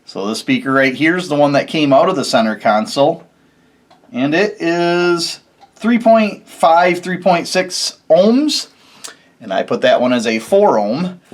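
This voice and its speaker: A man's voice: on the high side, lively intonation, a little slow. A man hosting a casual how-to video, explaining things step by step in an informal, folksy way. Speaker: host of a DIY car electronics video.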